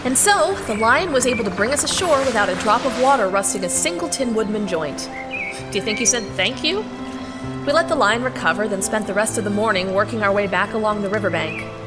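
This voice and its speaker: A woman's voice: medium pitch, animated, a little fast, loud music behind the voice, close to an everyday voice. A woman playing a plucky, exasperated young girl in an audio drama, emphatic and urgent in manner. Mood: narrating. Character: plucky, exasperated young girl adventurer leading her friends. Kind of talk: audio drama acting and narration.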